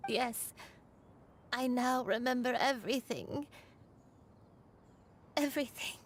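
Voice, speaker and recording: A gentle old grandmother in a dramatic video game scene. Her voice sits a little high and moves slowly, with formal, tender storytelling weighed down by guilt. Some ambient noise sits behind her. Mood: solemn, resolved. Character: gentle old grandmother, a crane turned human, burdened with guilt.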